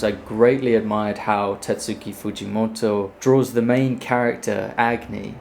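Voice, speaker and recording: A man hosting an animation channel, giving a measured, explanatory voice-over about his process. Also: lowish voice, gravelly, a little mellow, a little hiss or hum. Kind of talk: scripted video voice-over with process commentary. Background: music.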